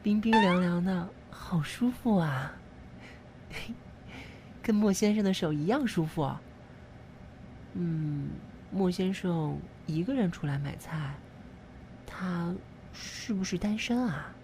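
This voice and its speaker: A woman as a dreamy slow-spoken little tomato girl. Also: lowish voice. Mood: dreamy, curious. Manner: dreamy, wondering, bashful. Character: lovestruck innocent young female cherry tomato. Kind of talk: Chinese audio drama acting. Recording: noisy background with clicks.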